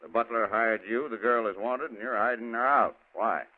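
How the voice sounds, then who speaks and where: An actor playing a fumbling police lieutenant who hesitates and mixes up names. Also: male voice, medium pitch, normal speed, natural voice. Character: bumbling male police lieutenant. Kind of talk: 1940s radio acting. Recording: tinny old radio broadcast.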